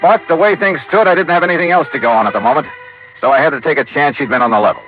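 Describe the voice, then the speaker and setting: A man's voice: fairly high pitch, racing, lightly acted. An American actor playing a tough, wry insurance investigator who narrates his case in clipped, dry 1950s radio drama style. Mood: dry, matter-of-fact. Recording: tinny old radio recording with music.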